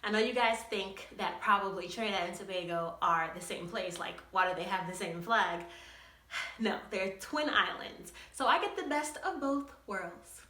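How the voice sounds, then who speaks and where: A young woman telling a story on her vlog in an animated way, often laughing as she talks. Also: mid-range voice, normal speed, roomy sound. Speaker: vlogger.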